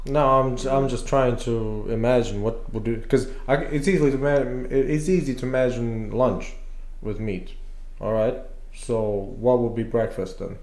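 A man's voice: medium pitch, fairly even intonation, normal speed, few pauses, a little mellow. A man hosting a casual chat, asking probing and teasing questions. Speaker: host. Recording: echoey room.

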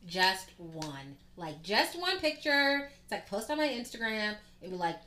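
A young woman podcast co-host chatting casually, gushing and excitable, often laughing. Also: medium pitch, unhurried, a little hiss or hum. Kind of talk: casual chat. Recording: roomy, echoey sound.

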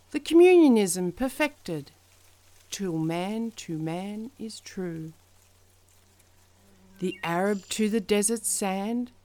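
A woman reciting poetry in a measured, dramatic style, with deliberate phrasing that falls away at the ends of lines. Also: fairly high pitch. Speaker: poetry reader.

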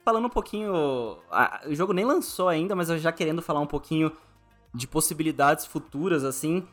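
A man's voice: on the high side, lively intonation, fairly quick, a bit bright, soft background music. A man with a Brazilian accent hosting a casual podcast interview, feeling his way through questions in meandering phrases.

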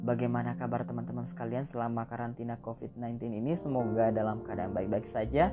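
A man's voice: mid-range voice, steady tone, fast. Young man, podcast host, casual motivational storytelling, chatty with lots of fillers, mixes in English words, faint guitar music behind. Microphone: audio podcast recording.

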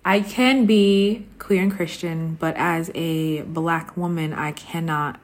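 A woman hosting a podcast, talking in a conversational, personal way, reflective and pausing between short phrases. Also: low voice, fairly even intonation. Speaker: podcast host.

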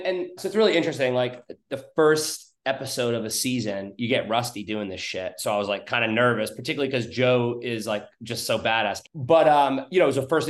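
Informal man in an echoey room. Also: medium pitch. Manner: informal, blunt, sweary, thinking aloud. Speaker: podcast host. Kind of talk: casual podcast chat.

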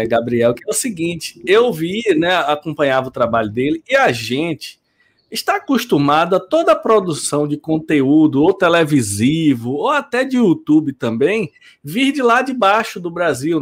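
A man's voice: a little high, very animated, normal speed. A man co-hosting a podcast in casual chat, joking about himself and laughing easily. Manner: self-deprecating, laughs easily.

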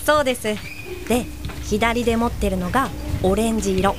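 A woman's voice: on the high side, brisk, big-room sound, close to an everyday voice. An actress playing a polite, well-meaning but easily flustered female guide in an audio drama. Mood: helpful. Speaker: actor and first-person narrator. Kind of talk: Japanese audio drama dialogue and narration.

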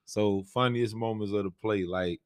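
A man's voice: lowish voice, fairly even intonation, normal speed. An American man chatting casually with fellow cast members in a slangy, informal way. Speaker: stage play cast member on a group talk show. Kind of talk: casual group chat.

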